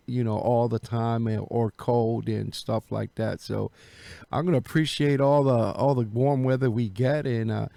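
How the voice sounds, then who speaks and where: Gravelly man chatting, close and dry. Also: medium pitch, mellow, no echo. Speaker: amateur radio operator, video host. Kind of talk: casual radio chat. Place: indoor home radio room.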